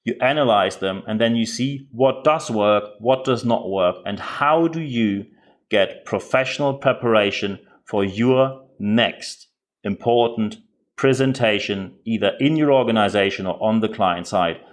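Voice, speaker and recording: A man's voice: fairly low pitch, unhurried. A man with a German accent, speaking English as a second language, hosting a podcast and giving direct, earnest commentary with frequent repeated words. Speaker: podcast host.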